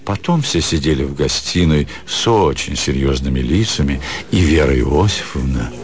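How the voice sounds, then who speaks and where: A man narrating a literary story for radio in a measured, expressive storytelling manner. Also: a bass voice. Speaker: narrator, actor reading literary prose. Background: music under the voice.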